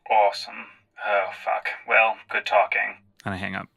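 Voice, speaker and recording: A man's voice: lowish voice, level tone, moderate pace, creaky, sharp tone, a little hiss or hum. A man playing in a tabletop game, improvising in character with precise, formal wording broken up by swearing. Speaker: tabletop podcast player.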